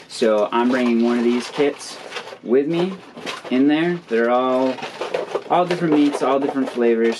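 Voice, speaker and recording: A man in his thirties giving a casual how-to explanation as an outdoor vlogger. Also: mid-range voice. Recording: clear ambient noise behind voice.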